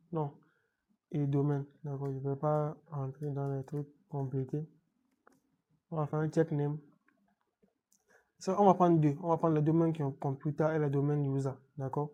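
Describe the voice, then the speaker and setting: A man with a head cold walks through a computer setup in an unscripted screencast tutorial. He stumbles and repeats words, pausing often to check in with the viewer. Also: fairly high pitch, steady tone, mellow. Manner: halting, many repeated words and restarts. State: has a cold, clearing throat. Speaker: IT tutorial presenter.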